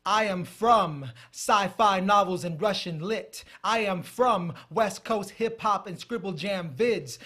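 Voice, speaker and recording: A man with a fairly high voice delivers spoken-word poetry in a measured, rhythmic cadence. Between pieces he talks more quickly and lets his sentences trail off, as a guest on a studio talk show. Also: bright, forceful tone. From United States. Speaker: poet guest. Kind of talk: poetry recitation and interview answers.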